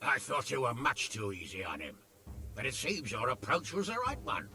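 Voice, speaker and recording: A man's voice: mid-range voice, huge pitch swings, normal speed, sharp tone, exaggerated voice. An actor playing a wry, hearty, sardonic medieval nobleman and commander in a video game. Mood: approving. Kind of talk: dramatic video game acting.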